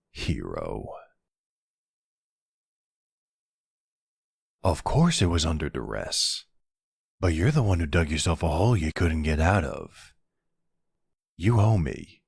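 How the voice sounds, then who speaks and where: A man's voice: low-pitched, creaky, a little mellow, husky, no room sound, studio quality, full character voice. A man playing a flirty, smug vampire in an intimate ASMR roleplay, with a teasing, sardonic and seductive manner. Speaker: audio roleplay voice actor.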